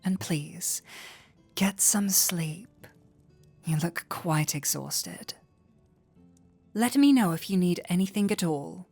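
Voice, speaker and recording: A woman playing a flirty, warm-hearted tavern innkeeper in an intimate roleplay audio drama, teasing coyly and playfully. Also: lowish voice, gravelly, exaggerated voice. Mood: tender, soft. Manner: coy, playful, unhurried teasing.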